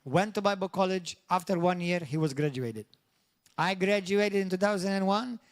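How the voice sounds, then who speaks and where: Maltese man, pastor, bilingual sermon, high-pitched, earnest, restarts mid-phrase. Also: moderate pace. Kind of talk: sermon, translating himself between English and Maltese.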